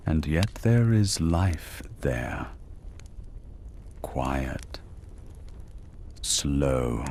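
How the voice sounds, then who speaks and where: A man with an extremely deep voice tells a bedtime story at an unhurried, lingering pace. His delivery is calm and hushed, sometimes dropping to a whisper, over a faint hiss. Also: creaky. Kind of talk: slow soothing sleep-story narration.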